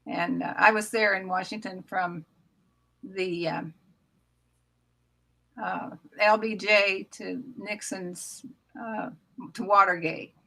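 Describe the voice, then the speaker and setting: A woman's voice: mid-range voice, fairly even intonation, slow pace, gravelly, pauses often, pushed and bright, poor audio. An American woman in her seventies from Oklahoma, casually reminiscing as a guest author on a video call. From Oklahoma, United States. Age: 70s. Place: small room at home, indoors. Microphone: computer mic on video call.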